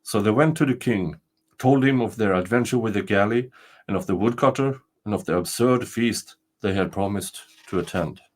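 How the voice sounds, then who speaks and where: An older man narrating a storybook tale aloud in a deliberate, dramatic way. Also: fairly low pitch. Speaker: storyteller reading folktales.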